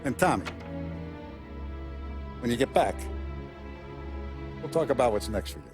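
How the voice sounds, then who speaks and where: An actor playing a calm, commanding male mob boss who lectures in a measured way, in a video game cutscene. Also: medium pitch, lightly acted. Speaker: crime boss. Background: cinematic music.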